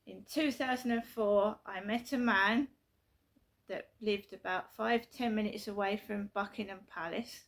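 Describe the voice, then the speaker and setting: Gravelly middle-aged woman. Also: medium pitch, steady tone, unhurried. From South London, England. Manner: repetitive, emphatic, earnest storytelling. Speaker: vlogger. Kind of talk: casual personal vlog. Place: her flat at night.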